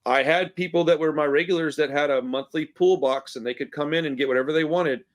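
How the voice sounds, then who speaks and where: A relaxed man hosts a casual interview. His voice sits a little higher than most men's and stays easygoing throughout, full of friendly slang. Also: fast. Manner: laid-back, says "man" and "dude" a lot. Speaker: podcast host.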